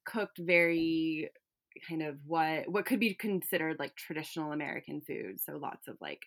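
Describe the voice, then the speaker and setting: A young woman from California in casual chat, talking with lots of fillers and light laughs. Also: deep voice. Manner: chatty, many fillers and likes, laughs easily.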